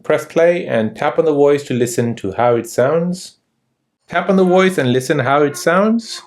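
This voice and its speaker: A man's voice: on the high side, unhurried. A man hosting a step-by-step tutorial, narrating in a scripted instructional manner with sing-song emphasis. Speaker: tutorial host.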